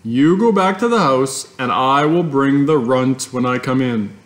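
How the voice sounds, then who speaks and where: A man voicing a gentle, steady farmer father who speaks kindly. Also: mid-range voice, unhurried.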